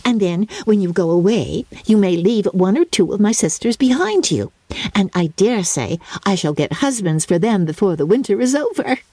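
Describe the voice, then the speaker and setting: Woman acting a giddy, high-pitched young bride. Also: animated, moderate pace, warm tone, husky, dry recording. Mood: breezy, confident. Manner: gushing, breathless exclamations, giggly. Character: giddy, boastful young newlywed woman, gushing and self-satisfied.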